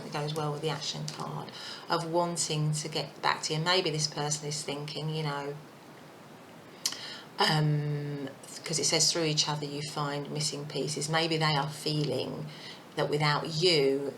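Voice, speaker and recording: A woman giving a casual tarot reading, thinking aloud with many fillers, her voice croaky and husky. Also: deep voice, a bit bright, a little hiss or hum, low-quality recording. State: croaky voice, clearing throat. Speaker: tarot reader.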